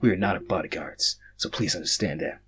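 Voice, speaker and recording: A man's voice: sits low, a little fast, fairly bright tone, breathy edge, pitched below the performer's own voice, heavily acted. A man voicing a wary, teasing male tactician in a fantasy story, with a dry, questioning manner. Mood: firm, wary.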